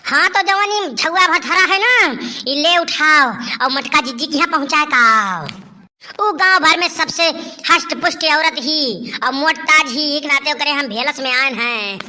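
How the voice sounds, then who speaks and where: Casting: a woman as a vengeful possessing spirit for a village cartoon, high bright voice, threatening, repetitive chanting. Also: a little slow, full character voice. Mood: commanding. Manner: threatening, chanting repeated phrases. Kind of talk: village comedy cartoon dialogue.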